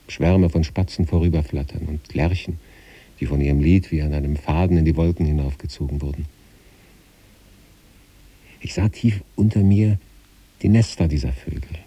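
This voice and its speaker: A man reads literary first-person narration in a measured, contemplative way. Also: very deep voice, warm tone, slightly rough. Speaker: narrator reading a literary story.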